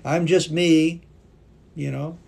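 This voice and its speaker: A man's voice: a little high, fairly even intonation, takes it slowly, vocal fry, a little mellow, husky. A native English-speaking man teaching English on a live stream, patiently reading viewer comments aloud and thanking them. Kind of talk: live-stream language class chat.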